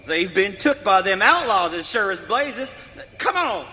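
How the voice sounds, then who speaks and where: An actor playing an excitable, worried frontier settler father with an Old in a western radio play. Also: high-pitched, a little slow, exaggerated voice. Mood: angry, determined. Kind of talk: live western radio drama acting. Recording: reverberant hall, faint background noise.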